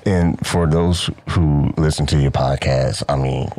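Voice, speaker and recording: A man's voice: a bass voice, steady tone, measured pace, darkish tone, no room sound, clean studio sound. A man hosting a casual interview, putting questions in an informal, buddy-like way. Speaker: interviewer, show host.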